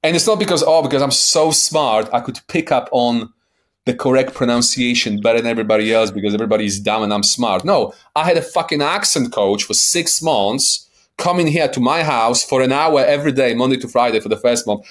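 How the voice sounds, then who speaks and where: A man's voice: mid-range voice, a little fast. A man in his forties with a light Polish accent, a life coach talking as a podcast guest in a blunt, emphatic way, swearing for emphasis and often checking in with "right?". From Poland. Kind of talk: podcast interview.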